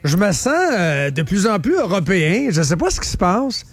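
A man's voice: high-pitched, sing-song, moderate pace, breathy edge, no room sound. A man with a Québécois French accent hosting a radio show, playful and teasing. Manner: playful, teasing, laughs often. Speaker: radio host. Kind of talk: joking banter.

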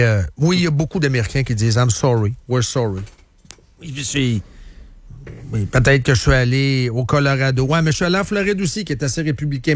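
A man with a Quebec French accent hosting a casual, opinionated current affairs talk show in colloquial speech sprinkled with English, repeating phrases for emphasis. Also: medium pitch. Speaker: radio host.

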